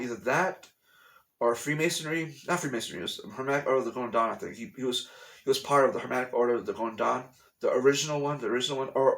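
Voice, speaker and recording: A man in a casual home vlog, talking in a middle-pitched voice. He rambles, circles back to repeat phrases and bluntly waves off conspiracy theories. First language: English. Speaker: vlogger. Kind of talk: casual explanatory monologue. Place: at home, room with a TV.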